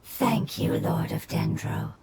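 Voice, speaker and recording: A woman voicing an ancient, solemn elemental spirit in a video game, breathy and creaky, with grave formal speech. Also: deep voice, slow, heavy background noise, exaggerated voice. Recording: loud game music under voice.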